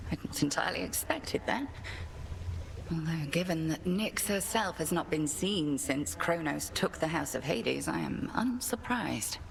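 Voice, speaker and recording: A woman playing a stern, measured witch headmistress in a video game, speaking formally and deliberately. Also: deep voice, lively intonation, gravelly, slight background noise, full character voice. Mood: composed, measured. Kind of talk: theatrical video game dialogue with formal verse.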